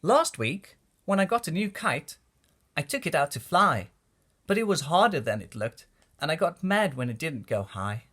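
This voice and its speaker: A man reading a rhyming children's storybook aloud in an expressive, deliberate way for young listeners. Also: a little high. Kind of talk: read-aloud storybook narration of rhyming verse.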